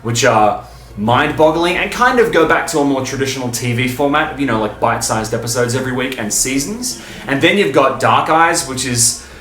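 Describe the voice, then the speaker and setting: A man hosting a fan video, talking in an enthusiastic, informal, emphatic way, stopping and starting with pauses for breath. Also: medium pitch, animated, a bit bright, roomy sound. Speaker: video host and fan reviewer.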